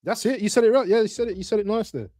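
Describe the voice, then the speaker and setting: Male football stream host, dark relaxed tone, dry recording, informal running commentary. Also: high-pitched, racing, no room sound. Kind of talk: casual live match commentary and chat.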